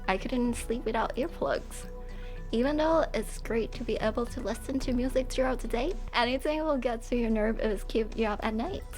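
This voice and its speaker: A woman voicing a lively, carefree young female musician from a game, chatty and upbeat, for a fan dub. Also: a little high, normal speed, soft background music, lightly acted.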